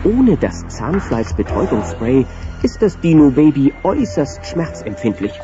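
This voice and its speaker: A man's voice: fairly high pitch, sing-song, normal speed. A man narrating a scripted children's audio drama about dinosaurs as the storyteller. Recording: dull sound, music underneath.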